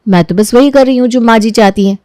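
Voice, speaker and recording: A woman playing a young daughter-in-law in a story, firm and measured, polite but standing her ground. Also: a little high, warm tone, no room sound, clean studio sound, voice pushed up, lightly acted. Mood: calm, polite.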